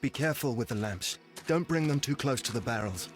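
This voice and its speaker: A man's voice: mid-range voice, animated, a little fast, soft background music, natural voice. An actor playing an embittered, defiant common man who leads a rebel gang, speaking in an oratorical way in a video game. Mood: wary.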